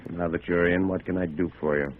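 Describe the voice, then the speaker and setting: Man acting very deep, smug big boss. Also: fairly even intonation, a little fast, close to an everyday voice. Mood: smooth, guarded. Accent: American. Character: big, brazen, unworried male small-town builder boss. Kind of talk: 1950s American radio noir acting. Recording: narrow-band vintage radio recording.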